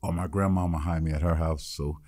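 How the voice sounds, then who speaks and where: A man guesting on a studio podcast, telling his life story in an animated, punchy, streetwise way. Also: low voice, fairly quick. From Midwestern United States. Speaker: guest musician and producer. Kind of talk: casual storytelling interview.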